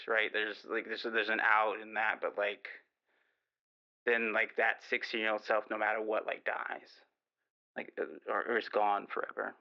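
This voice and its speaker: A man's voice: on the low side, clean tone, dull audio, close to an everyday voice. A man playing a guilt-ridden, wistful baker calling a radio show, hesitant and confessional, in an improvised drama. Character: wistful, guilt-ridden baker who once dreamed of art.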